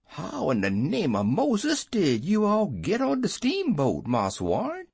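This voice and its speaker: Woman doing a gravelly, clumsy manservant. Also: on the low side, huge pitch swings, unhurried, darkish tone, dry recording, full character voice. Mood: complaining. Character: clumsy plump male servant, cheerful.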